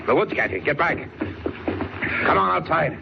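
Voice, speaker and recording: An actor playing a gruff, impatient gangster boss in 1940s radio style, talking in tough street slang. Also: male voice, on the high side, very animated, a little slow, over loud music, full character voice. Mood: urgent, angry. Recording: muffled, hissy old radio recording.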